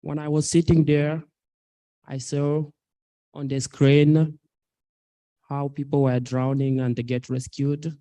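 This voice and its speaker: A man in his twenties from South Sudan, an activist speaking earnestly at a public talk, with halting delivery and many fillers. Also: a little high, level tone, mellow, no echo, low-quality recording. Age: 20s. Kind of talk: personal testimony at a public talk.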